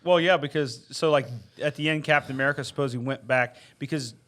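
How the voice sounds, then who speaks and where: A man's voice: medium pitch, fast, a bit bright. A man talking casually as a panelist in a group chat about shows and films. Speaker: podcast panelist.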